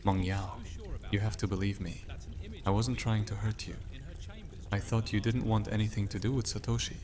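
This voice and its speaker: Man as a low, even-toned apologetic companion. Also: moderate pace, a crowd in the background, close to an everyday voice. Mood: pleading. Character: apologetic, anxious male companion of a witch. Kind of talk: audio drama acting.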